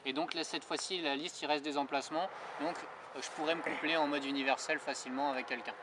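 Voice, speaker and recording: Guy doing a casual biker tutorial, kinda high voice, self-mocking, lots of outdoor noise behind him. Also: fairly even intonation, quick pace. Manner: self-deprecating, joking, colloquial. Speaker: motorcycling vlogger presenting a tutorial. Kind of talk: informal spoken tutorial with casual banter. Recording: noisy outdoor recording with vehicle sounds.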